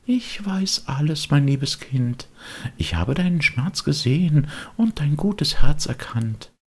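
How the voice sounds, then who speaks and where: Mann spielt sanfte, hohe mütterliche Fee. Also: male voice, on the high side, big pitch swings, takes it slowly, darkish tone, slightly rough, pitched above the performer's own voice, full character voice. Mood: tender. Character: gentle, motherly female fairy, kind but admonishing. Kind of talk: audiobook character voice.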